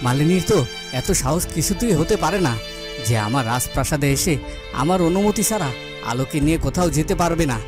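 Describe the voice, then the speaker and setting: A man's voice: a little high, animated, brisk, close to an everyday voice. A man playing an anxious, devoted fairy-tale king in a cartoon, fretful, repetitive and melodramatic. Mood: worried. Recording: music behind the voice.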